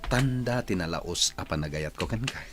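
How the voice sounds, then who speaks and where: A man's voice: deep voice, animated, moderate pace, a bit bright, soft background music. A man playing a rich, courteous and ardent young suitor in a Filipino radio drama. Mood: ardent, pleading.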